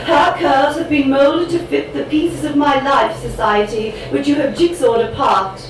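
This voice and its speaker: A woman's voice: high voice, fairly even intonation, measured pace. A young Irish woman from Cork performing her spoken word poems with a rhythmic, dramatic delivery and chatty asides between pieces. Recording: echoey room picked up from a distance, background noise.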